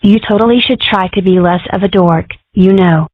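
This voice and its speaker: A woman's voice: medium pitch, moderate pace, no echo, close to an everyday voice. A woman voicing a snide, popular schoolgirl bully in a scripted comic-strip dub. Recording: muffled, phone-like narrow band.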